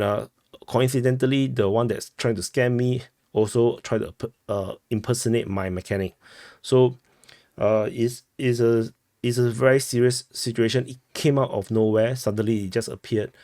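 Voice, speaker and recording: A man with a Singaporean accent giving informal news commentary as a host, halting and often rephrasing himself. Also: fairly low pitch, a little mellow, no room sound, clean studio sound. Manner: halting, repeats and rephrases phrases.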